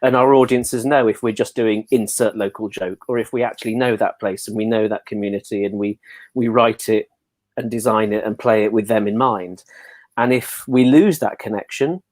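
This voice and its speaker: A man's voice: lowish voice, steady tone, normal speed, a little mellow, dry recording. Reflective, articulate man, closely recorded. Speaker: theatre producer, panel guest. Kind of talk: thoughtful discussion.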